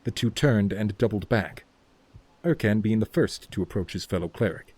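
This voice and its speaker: A man's voice: lowish voice, normal speed, a little mellow, breathy edge. A man reading scripted narration for a fantasy story as its narrator.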